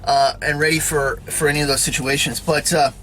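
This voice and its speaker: Male preacher, loose conversational sermon, bright voice, faint background hum. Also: a little high, fairly even intonation, a little fast, poor audio. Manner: rambling, repeats "all right?" and "you know". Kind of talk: casual spoken sermon to camera.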